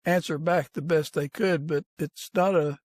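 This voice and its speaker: A man's voice: a little high, steady tone, normal speed, a little mellow, no echo, clean studio sound. A man hosting a podcast interview in a conversational way.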